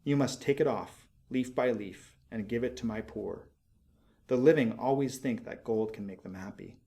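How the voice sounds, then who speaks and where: A man voicing a gentle, sorrowful statue of a prince from a storybook, speaking in a tender, solemn and measured way. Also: mid-range voice, vocal fry, close to an everyday voice. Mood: gentle, loving.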